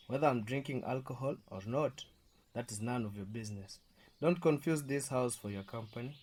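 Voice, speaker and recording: A man's voice: mid-range voice, darkish tone, natural voice. Guy acting as bossy defensive husband in a radio drama, scolding and ordering around. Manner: scolding, commanding. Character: domineering, defensive hard-drinking husband, grown man.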